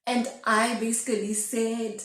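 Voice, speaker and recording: A woman's voice: on the high side, little pitch movement, unhurried, fairly bright tone. A woman chats casually and confessionally, rambling and thinking aloud as she questions herself. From Zimbabwe. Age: twenties. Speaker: video blogger. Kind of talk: casual confessional chat to camera. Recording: echoey room.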